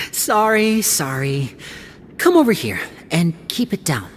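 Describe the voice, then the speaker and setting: A woman's voice: on the low side, huge pitch swings, slow pace, slight background noise, full character voice. A woman voicing a sly, composed young male stage magician in a video game, articulate and breezy like a showman. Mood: teasing. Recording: music behind the voice.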